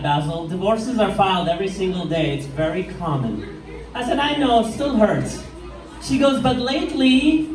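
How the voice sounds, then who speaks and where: A middle-aged man from Iraq with an Iraqi accent doing a stand-up set in a pub, delivering deadpan one-liners with slow punchlines. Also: sits high. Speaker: stand-up comedian. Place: pub stage with live crowd. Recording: echoey room, mic heard from far off.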